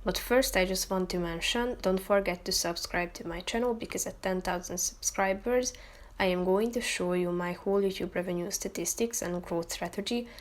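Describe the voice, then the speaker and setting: A woman's voice: on the low side, normal speed. A woman hosting a how-to tutorial, narrating step-by-step instructions as a voice-over. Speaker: tutorial host. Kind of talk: instructional screen-recording voice-over.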